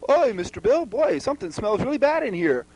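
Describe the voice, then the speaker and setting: High-voiced, falsely friendly puppet sidekick. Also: male voice, very animated, fast talker, very noisy. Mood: fake friendly. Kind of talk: homemade puppet comedy skit.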